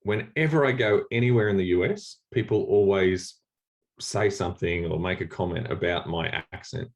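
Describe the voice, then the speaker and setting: A man with an Australian accent speaking as a church leader on a video call, relaxed and rambling with many fillers and restarts, a little sleepy in the early morning. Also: deep voice, normal speed. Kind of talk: informal talk on a video call.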